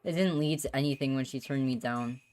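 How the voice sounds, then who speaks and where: Woman reading as low-voiced, guilt-ridden divorced dad. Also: brisk, a little mellow. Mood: regretful. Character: guilt-ridden, exasperated divorced father, darkly comic. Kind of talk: visual novel narration read aloud.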